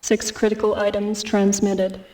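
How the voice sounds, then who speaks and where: Lady voicing a game computer assistant, formal and monotone, bit far off, music behind. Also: mid-range voice, a little slow, background noise, close to an everyday voice. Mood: impassive, formal. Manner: flat, formal, detached. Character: impassive female computer briefing assistant. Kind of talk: scripted military briefing announcement.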